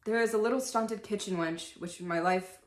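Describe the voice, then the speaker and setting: A woman's voice: mid-range voice, normal speed, lightly acted. A woman playing a cold storybook father who speaks dismissively. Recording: echoey room.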